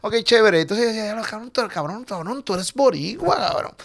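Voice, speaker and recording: A man from Puerto Rico in his forties with a Puerto Rican accent, a comedian chatting casually in an interview and telling stories quickly, with some swearing. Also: high voice, gravelly. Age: 40s. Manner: animated, swearing, quick storytelling. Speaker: comedian guest.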